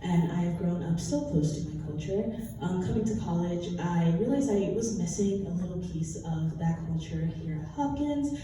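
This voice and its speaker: A woman's voice: lowish voice, animated, moderate pace. A nervous young woman answering a question on stage as a pageant contestant, laughing lightly between phrases. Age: young adult. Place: large hall. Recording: echoey hall from far off, noisy.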